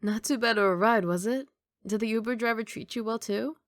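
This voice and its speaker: A woman's voice: a little high, brisk, no room sound, clean studio sound, close to an everyday voice. A woman playing a confident, doting young mafia boss girlfriend in an intimate, teasing ASMR romantic roleplay. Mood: playful teasing. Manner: playful teasing, intimate one-sided conversation.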